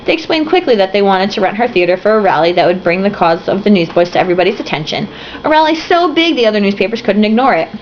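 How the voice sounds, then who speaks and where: A woman's voice: mid-range voice, sing-song, fast talker, a little hiss or hum. A tipsy young woman reading a novel aloud, breaking off with swearing, giggling asides, on a slightly muffled home recording. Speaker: reader.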